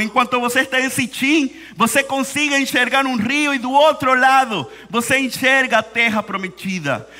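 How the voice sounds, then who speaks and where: A man from Venezuela with a Spanish accent, preaching a sermon in Portuguese, slowing down and repeating key phrases for emphasis. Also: extremely high pitch, sharp tone. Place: church hall. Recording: church hall reverb.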